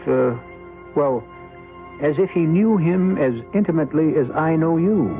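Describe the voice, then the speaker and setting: Suave, ominous male radio host over music. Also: a little high. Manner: deliberate, suave, ominous, with dramatic pauses. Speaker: host and narrator. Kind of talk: 1970s radio mystery hosting, scripted narration. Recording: muffled old radio broadcast over music.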